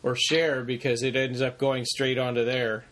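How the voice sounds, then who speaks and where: A man's voice: medium pitch, level tone, normal speed, a bit bright. A man co-hosting a podcast in a casual, chatty style. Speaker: podcast co-host.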